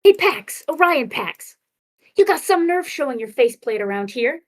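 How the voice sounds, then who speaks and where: A woman's voice: high-pitched, big pitch swings, moderate pace. A woman voicing brash, cocky robots in an amateur comic dub, with informal, punchy delivery. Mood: angry, accusing.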